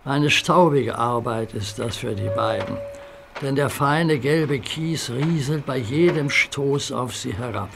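A man narrating a children's audio drama in a calm, vivid storytelling manner. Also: medium pitch, slow, vocal fry, over music.

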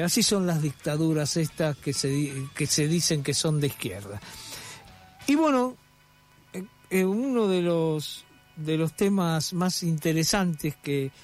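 A radio host, a man with a fairly high voice, talks in a loose, rambling way full of pauses and restarts. Faint music plays underneath him. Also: darkish tone. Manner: rambling, many fillers and restarts. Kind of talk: casual radio commentary.

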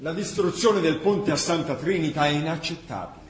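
A man giving an impassioned, deliberate dramatic narration of a historical chronicle. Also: on the high side, animated. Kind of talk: dramatic scripted documentary narration for radio theatre. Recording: echoey room, faint background noise.

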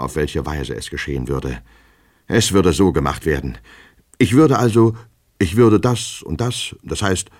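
A man voicing an anxious, flustered gentleman from a storybook, agitated and repeating himself as he despairs. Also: extremely low pitch, heavily acted. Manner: repetitive, stammering, agitated, talking to himself. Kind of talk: dramatic audiobook character reading.

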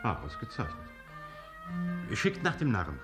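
An actor playing an imperious king in a radio play, ironically polite and deliberate. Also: medium pitch, huge pitch swings, over music. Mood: amused curiosity. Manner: regal, mock-courteous, deliberate. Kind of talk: German radio play acting.

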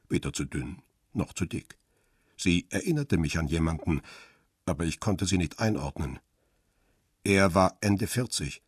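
Deep, husky world-weary paparazzo. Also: male voice, no room sound, studio quality. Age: middle-aged. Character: world-weary Danish male paparazzo around fifty, reflective. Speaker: first-person narrator. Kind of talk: crime radio play narration.

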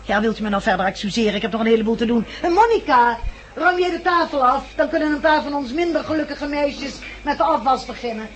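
A woman's voice: high voice, animated, quick pace. A woman playing an evasive, busy survivor in a 1960s radio drama. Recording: hissy 1960s radio recording.

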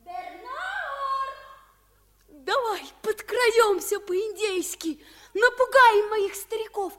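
Very high-pitched eager little boy. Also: animated, very slow, a bit bright, exaggerated voice. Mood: eager, playful. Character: eager, impulsive little boy from a well-off family. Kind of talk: 1950s Soviet radio play acting.

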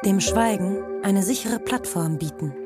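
An actress playing a biting, derisive political commentator in a satirical radio play, with a husky voice and punchy delivery. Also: female voice, medium pitch, expressive, measured pace, loud music behind the voice, close to an everyday voice. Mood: mocking.

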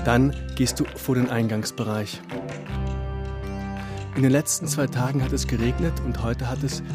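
A man narrating a radio drama, addressing the listener directly in vivid, descriptive present tense. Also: medium pitch. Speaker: performer and narrator in an improvised radio play. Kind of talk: second-person storytelling in a radio drama. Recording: music playing behind the voice. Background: music.

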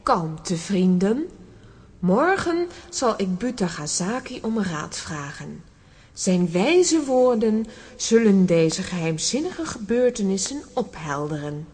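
A stately princess giving orders, dignified, flemish accent, kids audio drama. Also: female voice, medium pitch, sing-song, takes it slowly, darkish tone, slightly rough, exaggerated voice. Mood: calm, solemn. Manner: commanding, stately. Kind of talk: children's audio drama acting.

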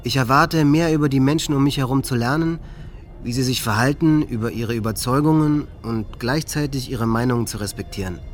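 An actor playing an earnest teenage high-school boy reading a formal, measured statement in a radio play. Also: slight background noise, natural voice. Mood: earnest, hopeful.

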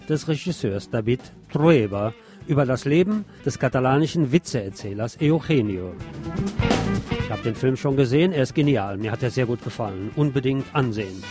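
A man's voice: mid-range voice, darkish tone. A man presenting a scripted radio news report, adding the odd personal aside.

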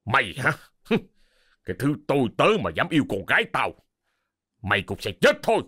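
A man's voice: mid-range voice, wildly swooping pitch, a little fast, pushed and bright, breathy edge, dry recording, clean studio sound, heavily acted. A man voicing a furious, cruel rural landlord and father in an audio story, barking threats. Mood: violent rage. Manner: roaring, threatening, barked commands.

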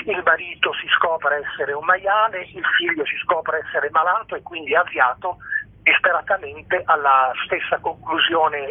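A man's voice: on the high side, slightly rough. A man, a theatre director being interviewed on radio, explaining a play in an erudite, emphatic, literary way. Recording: narrow, echoey telephone line.

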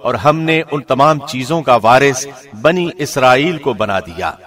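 A man with a mid-range voice reads a translation of scripture. His delivery is formal and measured, with the careful enunciation of a radio presenter working from a script. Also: medium pitch, animated, a bit bright.

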